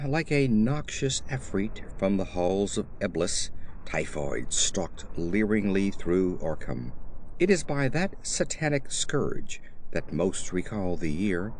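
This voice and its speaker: A man giving a theatrical, grave first-person reading of gothic horror fiction as an audiobook narrator, with deliberate emphasis. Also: low-pitched, darkish tone, a little hiss or hum.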